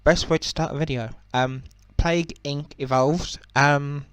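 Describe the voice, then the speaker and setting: Young man, croaky, clear dry close-mic sound, slightly high voice, casual rambling gameplay talk. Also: a little slow, no echo. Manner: relaxed and rambling, with many ums and self-corrections. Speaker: gaming channel host. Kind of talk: casual game commentary.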